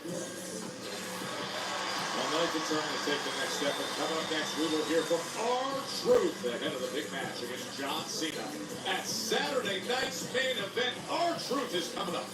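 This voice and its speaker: A man's voice: fairly high pitch, big pitch swings, normal speed, recorded from a distance. A man announcing coming segments in a broadcast style, played from a TV. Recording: roomy TV sound heard from afar. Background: loud TV music.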